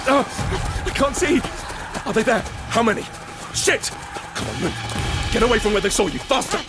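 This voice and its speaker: An actor playing a frightened survivor in an audio drama, narrating urgently in the first person and present tense in fragmented phrases. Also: male voice, very high-pitched, big pitch swings, normal speed, over music, exaggerated voice. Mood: frantic, fearful. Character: desperate, frightened male survivor of a monster apocalypse. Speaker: narrator and lead character.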